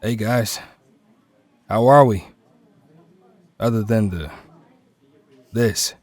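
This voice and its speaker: A man's voice: fairly low pitch, extremely slow, darkish tone, no room sound. A man playing a supportive, plain-spoken young superhero in an audio drama, talking casually. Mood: awkward, subdued.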